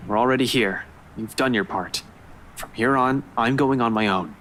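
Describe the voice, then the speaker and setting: A woman voicing a stern young male police detective who speaks bluntly and gives orders, over steady background noise. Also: rumbling low, moderate pace, voice pushed down. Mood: firm. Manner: blunt, commanding.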